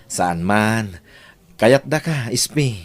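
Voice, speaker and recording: A man's voice: lowish voice, lively intonation, takes it slowly. A man acting a devoted, insistent young son in love in an Ilocano radio drama. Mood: ardent, reassuring.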